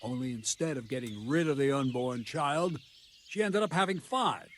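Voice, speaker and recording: A blunt, seasoned captain of the guard from a medieval game, voiced by a male actor. His medium-pitched voice is measured and wry. Light outdoor sound sits behind him. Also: lively intonation, a little hiss or hum, close to an everyday voice. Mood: wry, grave. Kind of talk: video game voice acting.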